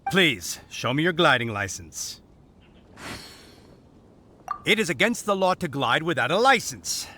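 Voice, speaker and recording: A man's voice: fairly high pitch, sharp tone, a little hiss or hum. A man voicing an officious, by-the-book knight in a video game, formal and declamatory.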